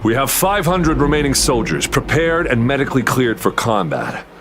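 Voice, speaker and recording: Dutiful game soldier, played by a man, mid-pitched, formal report delivery, music behind. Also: very animated, moderate pace, close to an everyday voice. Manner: formal, crisp military reporting. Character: dutiful, formal male military officer reporting to superior. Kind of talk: video game voice acting.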